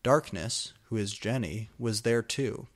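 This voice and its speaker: A man's voice: on the low side, measured pace. A man narrating amateur fan fiction as a comic dramatic reading, with exaggerated, mock-serious emphasis.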